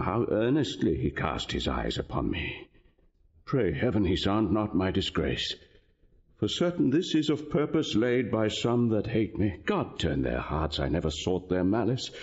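Slow, grave, pious archbishop. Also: male voice, fairly low pitch. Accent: British. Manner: grave, measured. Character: meek, pious, dignified older archbishop, wronged. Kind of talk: Shakespearean radio drama acting. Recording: slightly dull audio.

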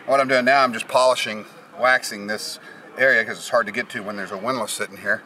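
A man's voice: lowish voice, steady tone, quick pace, sharp tone, a little hiss or hum. A middle-aged man giving a casual step-by-step how-to on his sailboat, plainly explaining with fillers, recorded on a camera mic. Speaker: sailing vlogger. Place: on a sailboat deck, outdoors and in the cabin.

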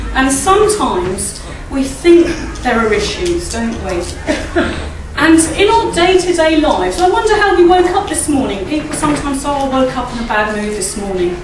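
A middle-aged woman with a croaky voice speaks at a church service from a lectern mic, chatty and often asking the audience tag questions. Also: sits high, expressive, normal speed, background noise. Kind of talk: informal talk at a church service. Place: church hall, indoors. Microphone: standing mic at a lectern, filmed by a far camera. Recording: echoey hall, recorded from a distance.

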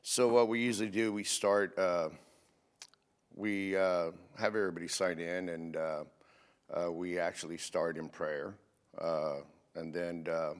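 A middle-aged man with a deep, low voice gives plain testimony in an interview. He speaks haltingly, leaning on filled pauses as he goes. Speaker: recovery group leader giving testimony. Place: indoors, dim church stage. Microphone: handheld microphone.

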